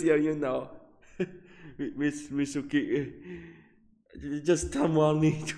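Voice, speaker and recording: A man giving casual, halting and rambling gameplay commentary as a streamer. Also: fairly high pitch. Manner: casual swearing. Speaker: gaming streamer. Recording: long echoing reverb, music behind. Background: game music.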